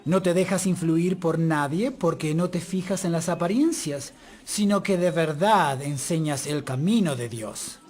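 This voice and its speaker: A man acting a sly, flattering Pharisee in a dramatized audio Bible, with an ingratiating, theatrical delivery. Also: on the high side, a little slow. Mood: flattering, probing.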